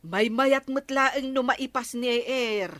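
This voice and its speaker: Grudging neighbour woman, Ilocano radio comedy, highish dry voice, full of excuses. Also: slow pace, a bit bright, no echo. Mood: evasive. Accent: Filipino, Ilocano. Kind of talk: broadcast radio comedy drama acting.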